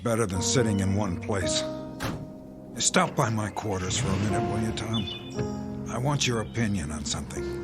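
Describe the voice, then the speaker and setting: A man's voice: on the low side, animated, moderate pace, over loud music, natural voice. A man playing a weary, conscientious army captain in a radio drama, with an authoritative, brooding manner. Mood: grave, measured. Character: weary, conscientious army captain commanding a front-line outpost. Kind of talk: modern radio drama acting.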